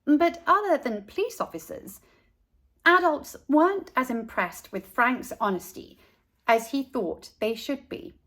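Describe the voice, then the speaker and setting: Slow, high-voiced woman reading storytime. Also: lively intonation, a bit bright. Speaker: storytime host and narrator.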